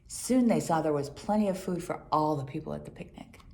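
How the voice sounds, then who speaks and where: A woman reading a children's Bible story aloud in an expressive storytelling manner. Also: low-pitched, a little fast, vocal fry. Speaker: storybook reader.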